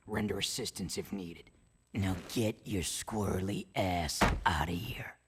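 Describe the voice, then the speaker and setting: A male actor voicing a gruff, stern resistance commander in a video game, with a rasping voice and curt military delivery. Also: low voice, unhurried, noisy background. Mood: grim, commanding. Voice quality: gravelly, rasping. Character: gruff, stern, impatient underground resistance commander, former guard.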